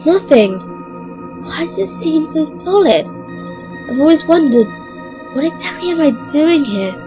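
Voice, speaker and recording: A woman's voice: high voice, sing-song, measured pace. A woman playing an anxious, regal young ruler of a twilight realm in a fantasy radio play, speaking in a formal, musing, theatrical way. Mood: wistful, musing. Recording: dull lo-fi home recording. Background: music.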